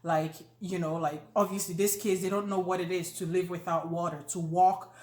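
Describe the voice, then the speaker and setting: A woman with a Nigerian accent, co-hosting a casual chat, joking and animated with many fillers. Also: lowish voice, moderate pace. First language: not Yoruba. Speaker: co-host. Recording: echoey room.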